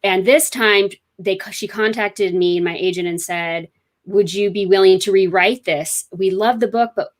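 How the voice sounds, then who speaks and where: A woman's voice: mid-range voice, moderate pace. Chatty woman author, rambling. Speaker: author guest. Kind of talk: casual interview chat.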